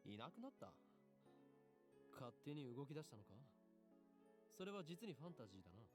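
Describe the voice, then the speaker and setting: A man's voice: on the high side, big pitch swings, a little fast. An actor playing a pompous, brusque young man, a self-styled mad scientist, in a Japanese visual novel. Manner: theatrical, brusque. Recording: music behind the voice.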